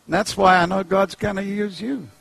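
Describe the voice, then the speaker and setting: A middle-aged man with a New Zealand accent preaching an animated, humorous sermon, jumping into playful voices. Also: moderate pace, vocal fry. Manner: jokey, acts out characters, pitch leaps high.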